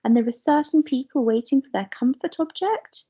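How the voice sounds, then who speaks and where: A woman's voice: sits high, expressive, moderate pace, warm tone, lo-fi sound, pitched above the performer's own voice. A woman voicing an impatient, self-important seven-year-old girl in a storybook, huffy and pompous.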